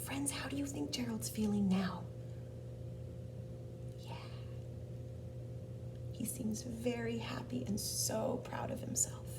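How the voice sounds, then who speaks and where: Slow, expressive woman reading to children. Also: on the high side, whispered. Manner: expressive, deliberate, pauses to ask questions. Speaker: children's read-aloud host. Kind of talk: interactive storybook narration for young children. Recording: distant mic, background noise.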